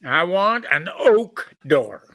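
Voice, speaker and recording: Demanding shopper in old radio comedy, slow and forceful, lively pitch. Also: male voice, exaggerated voice. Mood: insistent. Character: stubborn, demanding male store customer. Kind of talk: screwball radio sketch acting.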